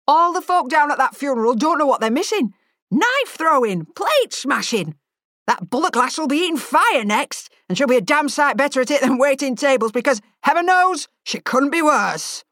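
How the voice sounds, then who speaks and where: A woman's voice: sits high, big pitch swings, moderate pace, a bit bright, no room sound, clean studio sound, pitched above the performer's own voice. A woman voicing a burly, grumbling middle-aged male chef who mutters his complaints.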